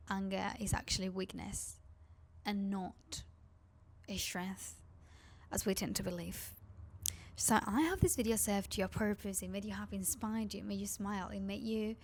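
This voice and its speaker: A woman's voice: medium pitch, steady tone, moderate pace, a little mellow. A woman with a Spanish accent, a native Spanish speaker from Spain, vlogging in a rambling, confiding chat to camera. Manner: rambling, confiding, heavy use of "like".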